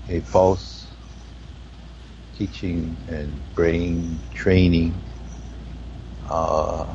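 A man with a Hawaiian accent speaks in a very deep, dark, mellow voice with a gravelly edge. He talks slowly and haltingly, searching for his words. The sound is dull and lo-fi, with a faint hiss behind him. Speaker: guest. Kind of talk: spiritual conversation.

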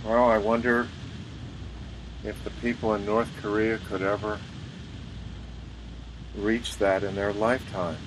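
A man with a fairly low, flat voice asks gently prompting questions. He sounds distant from the microphone, and a clear background noise runs under his speech. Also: unhurried, pauses often. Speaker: interviewer. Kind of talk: interview questions.

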